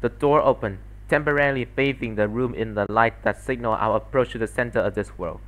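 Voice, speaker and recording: A man's voice: mid-range voice, fairly even intonation, fairly quick, fairly bright tone. A man reading scripted story narration as the solo narrator of a fan dub. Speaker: solo fandub performer and narrator.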